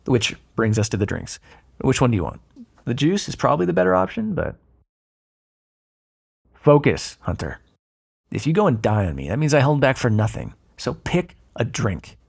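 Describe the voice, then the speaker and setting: A flirtatious male vampire, acted by a man, murmurs in an intimate ASMR roleplay. His voice is husky and breathy with a creaky fry, and it swings freely up and down as he teases. He comes across as smug and predatory, yet looks after his prey. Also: medium pitch, heavily acted. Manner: teasing, drawling asides with soft sighs and chuckles. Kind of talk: ASMR roleplay, intimate second-person acting.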